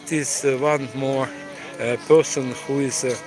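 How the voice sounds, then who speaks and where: A man with a Ukrainian accent, a tour guide live-streaming as he walks city streets, talking haltingly with many fillers. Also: medium pitch, fairly even intonation, music in the background. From eastern Ukraine. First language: Russian. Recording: outdoor street noise.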